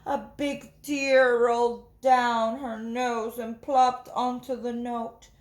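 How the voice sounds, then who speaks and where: A woman's voice: on the high side, level tone, slow. A woman teacher reading a picture book aloud, narrating and putting questions to young listeners. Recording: roomy echo.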